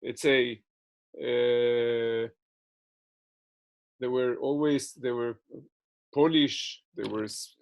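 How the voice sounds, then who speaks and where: Hesitant man with a gravelly voice. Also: mid-range voice, little pitch movement, very slow, long pauses. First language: not English. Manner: hesitant, many fillers and restarts. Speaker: interviewee. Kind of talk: casual interview answers.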